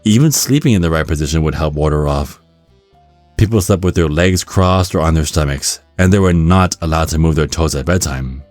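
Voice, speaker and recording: A man with a very low voice narrates a scripted ASMR guide at a measured, wry remove. The recording is very dry, with no room sound, and music plays faintly behind him. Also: darkish tone, dry recording.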